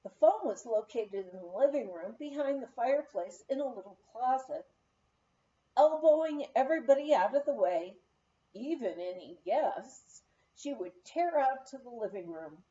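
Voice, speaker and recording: A woman reading a memoir aloud in an expressive storytelling manner, on a slightly dull recording. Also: a little high, normal speed. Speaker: audiobook reader.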